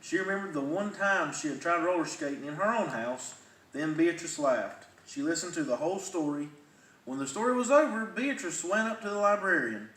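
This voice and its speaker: A man reading a children's storybook aloud in an animated way. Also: a little high, normal speed. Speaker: firefighter reading a children's book. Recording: roomy, distant mic, faint noise.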